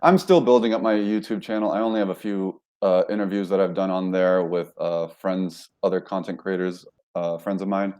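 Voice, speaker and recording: Deep-voiced man telling his story. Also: steady tone, normal speed, few pauses, darkish tone, clear, resonant tone. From United States. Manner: rambling, self-correcting, frequent fillers. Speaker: guest. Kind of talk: casual interview talk.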